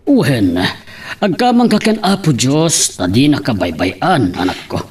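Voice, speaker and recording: A pious, supportive elderly father in an Ilocano drama, acted by a man. His pitch rises and falls widely, and he sounds a little away from the microphone. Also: very animated, a little slow, slightly rough, recorded from a distance, exaggerated voice. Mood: consoling. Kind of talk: Ilocano family radio-style drama acting.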